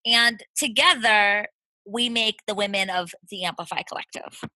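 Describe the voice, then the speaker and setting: Bright, chatty woman with a Brazilian accent. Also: medium pitch, moderate pace, dry recording, rough recording. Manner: verbose and playful. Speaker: podcast co-host. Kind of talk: casual chat. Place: small closet.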